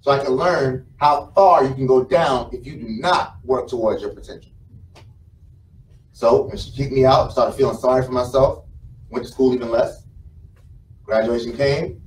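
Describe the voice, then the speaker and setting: A man's voice: medium pitch, moderate pace, pauses often. American man giving a roomy, distant motivational talk. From South Carolina, United States. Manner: conversational, storytelling, repeats phrases for emphasis. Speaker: motivational speaker. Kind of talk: informal motivational speech to a live audience. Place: indoor conference room with a small stage. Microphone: camera mic from the audience, several meters away. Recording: roomy, distant mic, some background noise.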